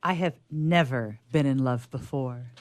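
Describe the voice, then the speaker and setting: A woman's voice: a bass voice, a little slow, dry recording. An actress playing a romantic, stubborn young woman in love, earnest and insistent, in a stage comedy. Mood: defiant, lovestruck. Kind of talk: theatrical stage comedy acting.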